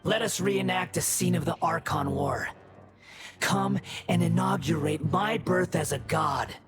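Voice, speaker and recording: A man's voice: on the high side, a little slow. An actor voicing an arrogant young male self-made god in a video game, speaking with theatrical, contemptuous grandeur. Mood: grandiose fury. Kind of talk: video game villain acting. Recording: game music behind the voice.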